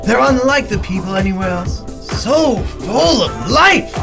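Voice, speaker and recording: Psychopathic clown villain, gleeful, mock-affectionate, wildly animated pitch, radio drama, music behind. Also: male voice, a little slow, vocal fry, full character voice. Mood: gleeful, mock-sentimental.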